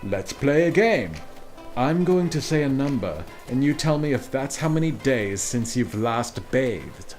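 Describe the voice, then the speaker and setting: A man playing an arrogant, commanding duke who speaks in a deliberate, imperious way in an audio drama. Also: mid-range voice, lively intonation, darkish tone, soft background music, natural voice. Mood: teasing. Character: arrogant, womanizing nobleman duke, curt and commanding.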